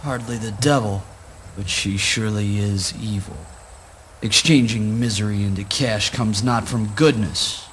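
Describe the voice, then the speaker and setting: A man's voice: fairly low pitch, unhurried, fairly bright tone, slight background noise. An actor playing a stern, righteous father in a spoken word play, speaking in a pompous, archaic, declamatory way. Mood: stern, threatening.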